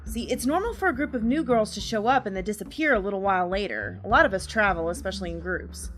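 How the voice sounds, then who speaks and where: A woman's voice: mid-range voice, lively intonation, fairly bright tone, faint music behind, natural voice. A woman playing a nervous street-smart informant. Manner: anxious, hurried, confiding. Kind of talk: audio drama acting.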